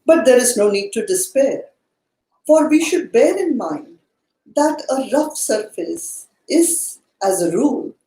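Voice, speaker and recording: A woman leading a spiritual study class, speaking in a measured, deliberate and formal way as she teaches. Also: on the high side, warm tone. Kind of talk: spiritual study lesson, explaining and reading aloud from a book. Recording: echoey room sound.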